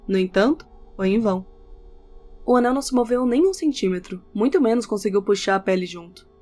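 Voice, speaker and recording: Female narrator, scripted audio novel, mid-range pitch, guitar music bed behind. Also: animated, a little fast, warm tone. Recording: background music under the voice. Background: instrumental music with guitar.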